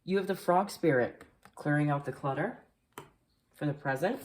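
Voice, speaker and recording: A woman giving a casual tarot card reading, chatty and rambling with lots of fillers, a little nasal and hoarse from allergies. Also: low voice, moderate pace, a little mellow. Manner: chatty, rambling with many fillers, occasional coughs. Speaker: tarot reader. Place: indoors at a table at home. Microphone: camera facing the table, speaker mostly off screen.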